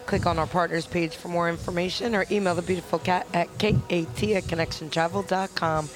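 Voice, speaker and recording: Hoarse upbeat woman co-hosting. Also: fairly low pitch, fairly even intonation, brisk. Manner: upbeat, eager, agrees often. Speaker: co-host. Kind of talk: casual chat and ad read.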